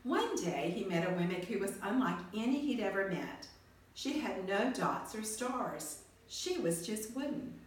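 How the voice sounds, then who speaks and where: A woman storyteller reading a children's storybook aloud in an expressive style. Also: mid-range voice, unhurried. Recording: echoey room, far from mic, faint noise.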